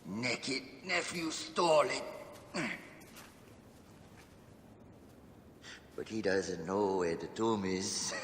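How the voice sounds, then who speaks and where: An actor playing a crude, defiant, dying gang boss in a video game, mocking and halting. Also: male voice, on the low side, fairly bright tone, a little hiss or hum. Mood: mocking, weary. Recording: roomy, reverberant, faint music.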